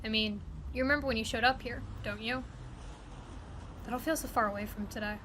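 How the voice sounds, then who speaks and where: A woman's voice: fairly high pitch, steady tone, fairly quick, a little hiss or hum, close to an everyday voice. A woman playing a streetwise friend who narrates an audio drama in the second person, in an intimate, dramatic manner. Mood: gentle, concerned. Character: concerned streetwise friend narrating a tense urban memory. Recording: loud music behind.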